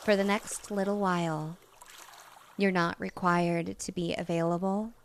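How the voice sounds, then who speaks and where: A woman hypnotist gently narrating a guided relaxation, soothing and unhurried, with long pauses between phrases. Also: lowish voice, fairly even intonation, a little hiss or hum.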